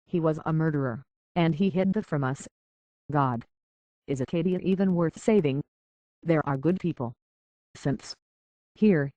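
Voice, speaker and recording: An actress playing an earnest, conflicted young woman in a video game, speaking hesitantly and with a soft heart. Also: low voice, unhurried, long pauses, no echo, dull audio. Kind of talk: video game character dialogue.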